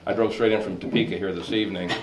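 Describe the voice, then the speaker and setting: A hoarse man with a fairly low voice explains things at length, often stopping to restart a sentence. He is heard from a distance in an echoey church hall, with a faint hiss underneath. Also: fairly even intonation, racing. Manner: earnest, detailed explanation with frequent restarts. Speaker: state legislator on a panel. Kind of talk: public forum talk.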